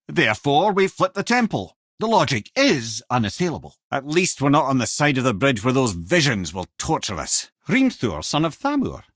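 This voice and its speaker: An actor playing a witty, learned old storyteller and guide in a video game, with a Scottish accent and a wry, erudite, theatrical way of talking. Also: male voice, medium pitch, a bit bright, slightly rough, no echo, clean studio sound. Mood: solemn storytelling. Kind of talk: video game character voice lines, storytelling and banter.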